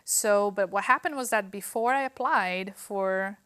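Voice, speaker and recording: A woman from Brazil, speaking with a Brazilian Portuguese accent, answers interview questions as a film professor in a friendly way, often pausing with ums. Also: mid-range voice, a bit bright, clear, resonant tone.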